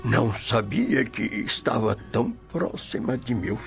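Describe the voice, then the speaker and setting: Slow, gravelly old Roman nobleman. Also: medium pitch, lively intonation. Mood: flustered, shocked. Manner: courteous, hesitant, formal. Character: elderly Roman nobleman, deferential and easily scandalized father. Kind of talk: radio drama acting. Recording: dull lo-fi radio drama recording with music. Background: music.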